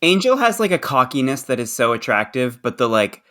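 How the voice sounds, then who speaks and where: A man's voice: mid-range voice, big pitch swings, fairly quick, bright, forceful tone. A man co-hosting a casual podcast chat, chatty and laughing mid-sentence. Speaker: podcast co-host.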